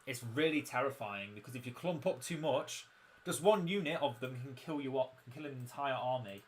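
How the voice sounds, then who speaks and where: A young man commentating casually on his own game livestream, his voice croaky, chatty and self-mocking as he explains the mechanics. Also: medium pitch, fairly quick, slight background noise. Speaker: game streamer.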